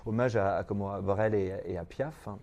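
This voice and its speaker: A man's voice: lowish voice, normal speed, a crowd in the background. A middle-aged man from France, a stage performer, chatting in an interview, hesitant and repeating his words. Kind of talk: casual interview. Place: TV studio.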